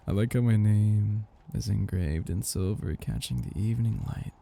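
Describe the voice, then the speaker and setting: A very dark, low-pitched vampire master, acted out by a man for intimate ASMR roleplay. He speaks slowly and breathily, with teasing, condescending affection and a possessive, aristocratic air that swings between murmurs and fuller tones. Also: gravelly, slightly rough, exaggerated voice. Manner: slow, teasing, condescendingly affectionate, with whispers and chuckles.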